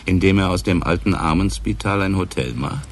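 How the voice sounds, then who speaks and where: An actor playing a dry, sardonic police inspector who probes with irony in a naturalistic radio crime play. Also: male voice, low voice, fairly quick, a little hiss or hum, lightly acted. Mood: dry, probing. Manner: dry, ironic, probing.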